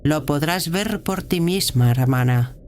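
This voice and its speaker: A woman playing a grave, guarded prioress of a militant religious order in a sci-fi audio drama, speaking with measured authority. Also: sits low, lively intonation, darkish tone, slight background noise.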